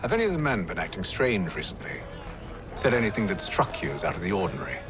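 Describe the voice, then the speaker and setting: Haughty, composed gentleman for a game: formal, dryly menacing, very animated intonation, music underneath. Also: low voice, quick pace. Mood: politely probing. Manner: formal, dry, sardonic. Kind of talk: video game voice acting. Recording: music playing behind the voice.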